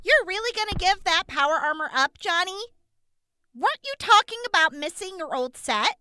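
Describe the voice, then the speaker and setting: A woman's voice: very high-pitched, animated, moderate pace, pushed and bright, no echo, exaggerated voice. A woman playing a tough, wry and evasive wasteland survivor in an audio drama. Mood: skeptical.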